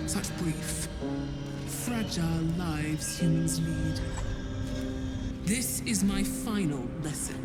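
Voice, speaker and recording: A cruel goddess of darkness from a video game, taunts with cold grandeur. Her voice sits a little low and takes its time. It rings with heavy echo over loud music. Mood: cold gloating. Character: cold, cruel, menacing dark goddess, gloating over victims. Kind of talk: video game dramatic acting. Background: loud dramatic game music.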